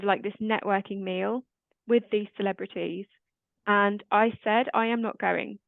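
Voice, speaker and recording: A woman hosting a casual chat in a naturally quiet, calming voice. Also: medium pitch, dry recording. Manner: quiet, calm voice. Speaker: host. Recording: dry, tinny phone-like line.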